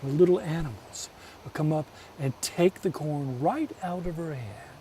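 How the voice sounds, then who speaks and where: A man telling a folk tale as a storyteller, theatrical, with deliberate pauses. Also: a little high, mellow, distant mic, slight background noise.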